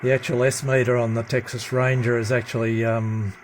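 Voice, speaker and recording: A man giving a casual hands-on demonstration as a radio hobbyist, with a low-key, halting delivery. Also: little pitch movement. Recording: noisy room with radio static. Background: CB radio chatter and static.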